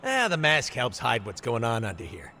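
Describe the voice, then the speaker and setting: An actor playing a cocky, irreverent mercenary antihero in a video game, with a jokey, sarcastic, theatrical delivery. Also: male voice, medium pitch, huge pitch swings, normal speed, pushed and bright, a little hiss or hum, exaggerated voice. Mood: flippant. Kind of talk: video game cutscene acting.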